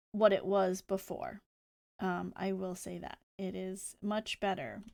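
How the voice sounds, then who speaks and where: A woman live-streaming a game, chatting casually in a playful, rambling manner. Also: mid-range voice. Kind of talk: casual livestream chat, reading game dialogue aloud.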